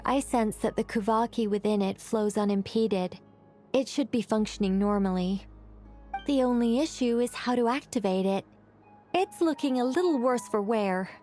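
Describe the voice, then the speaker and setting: A woman voicing a composed, wise character in a video game, recounting lore in a calm, measured way. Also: fairly high pitch, close to an everyday voice. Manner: calm, measured, solemn storytelling. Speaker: story character explaining lore.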